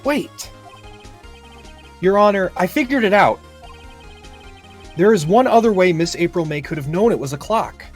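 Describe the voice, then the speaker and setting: Man playing an animated, dogged young defense attorney. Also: sits high, moderate pace, long pauses, faint music behind. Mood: confident. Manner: declarative, emphatic, sardonic. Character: earnest, dogged young male defense attorney, cocky under pressure. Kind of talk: improvised video game voice-over.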